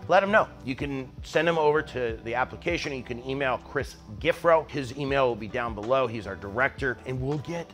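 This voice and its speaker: An enthusiastic man in his forties speaks straight to camera in a woodworking shop, casual and conversational, with plenty of fillers. His voice sits at a middling pitch. Faint music plays underneath. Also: a bit bright. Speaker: woodworking channel host. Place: mostly empty woodworking shop, indoors.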